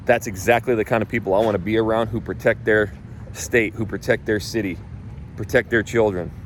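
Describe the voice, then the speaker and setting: A man's voice: lowish voice, fairly even intonation, brisk, slight background noise. A man from North Idaho who coaches real estate agents, talking casually in a blunt, emphatic way and swearing freely. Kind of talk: casual talk.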